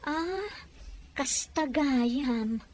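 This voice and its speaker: A woman's voice: high voice, expressive, drawn-out words, a little mellow, no room sound, full character voice. A woman acting a nosy, exclamatory relative in an Ilocano audio drama, with a Filipino Ilocano accent. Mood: curious. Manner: exclamatory, inquisitive.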